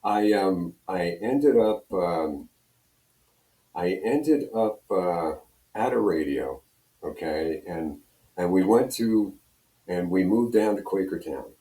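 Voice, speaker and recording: A mature American man with a very low, soft-edged voice tells stories in a loose, meandering, conversational style. He sounds some distance from the microphone in an echoey room. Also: lively intonation, slow pace. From Pennsylvania, US. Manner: rambling, conversational, repeats you know. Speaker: radio program director and personality, interview guest. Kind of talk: casual storytelling in interview. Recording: roomy echo, distant from mic.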